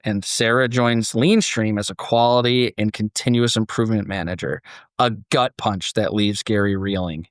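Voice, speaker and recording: A man's voice: lowish voice, steady tone, normal speed, no room sound, clean studio sound. A man hosting a podcast, moving between dramatic story narration and casual discussion.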